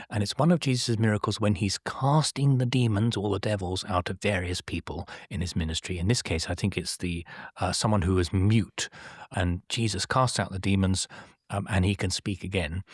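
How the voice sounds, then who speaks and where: A man co-hosting a casual art history chat, explaining in a measured, thoughtful way with frequent pauses. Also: lowish voice, husky, no room sound, clean studio sound. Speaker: podcast co-host.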